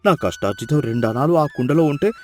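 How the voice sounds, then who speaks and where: A man's voice: medium pitch, animated, brisk, soft background music, close to an everyday voice. A man voicing a miserly, cunning village farmer in a moral story, whining and grumbling with exaggerated excuses. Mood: dismayed. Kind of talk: dramatised moral story voice acting.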